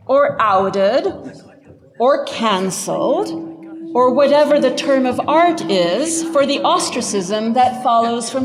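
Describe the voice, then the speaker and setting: A woman giving a scripted lecture narration in a measured, articulate, emphatic manner. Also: sits high, big-room sound.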